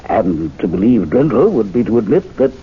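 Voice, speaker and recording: Fussy, muffled radio club gentleman. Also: lowish voice, sing-song, moderate pace. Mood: grave, confessing. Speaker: radio actor. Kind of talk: 1970s radio drama acting. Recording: muffled, slightly noisy old radio broadcast.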